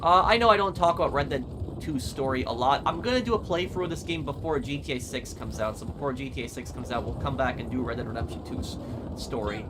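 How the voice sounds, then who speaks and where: An American man hosting a gaming channel, casually answering viewer comments in a relaxed, matter-of-fact way. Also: mid-range voice, quick pace, heavy background noise.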